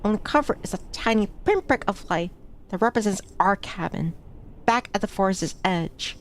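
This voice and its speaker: A woman's voice: medium pitch, expressive, moderate pace, a little hiss or hum. A woman streamer reading a visual novel aloud, with casual, chatty asides between passages. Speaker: VTuber streamer. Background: background music.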